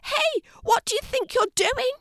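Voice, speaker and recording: A woman's voice: very high voice, expressive, a little slow, creaky, a bit bright, no room sound, clean studio sound, voice pushed up, exaggerated voice. A woman voicing an indignant, chatty little male golden fish with a brave front in a children's storybook.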